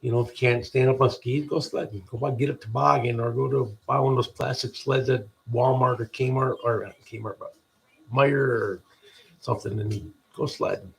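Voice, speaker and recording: A deep-voiced man from the United States hosting a podcast, giving a halting, rambling spiritual pep talk full of restarts. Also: steady tone, creaky, low-quality recording. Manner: halting, restarts often, repeats "people". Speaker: podcast host.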